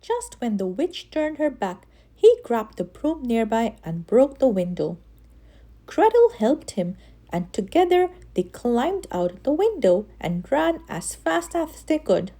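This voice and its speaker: A woman reading a children's story aloud in an expressive way, with animated, dramatic emphasis. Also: on the high side, slow. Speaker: children's story reader and channel host.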